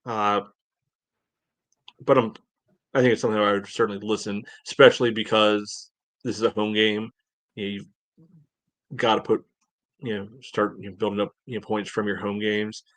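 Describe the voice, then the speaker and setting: A man co-hosting a sports podcast, reasoning aloud in a measured, hedging, conversational way. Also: lowish voice, level tone, fairly bright tone, dry recording. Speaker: podcast co-host. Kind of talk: casual sports discussion.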